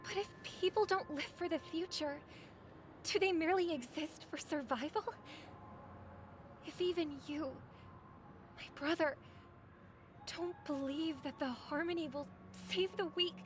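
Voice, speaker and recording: A woman playing a gentle, idealistic young sister in a video game, speaking softly and earnestly. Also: very high-pitched, a little slow, pauses often, slight background noise. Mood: earnest pleading. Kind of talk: scripted video game dialogue. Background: game music, streamer talking over.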